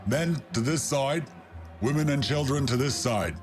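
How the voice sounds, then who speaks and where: An actor playing an unperturbed soldier in an audio drama, giving orders in a rote, commanding way. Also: male voice, medium pitch, unhurried, faint music behind, lightly acted. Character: unperturbed soldier guard reciting routine orders.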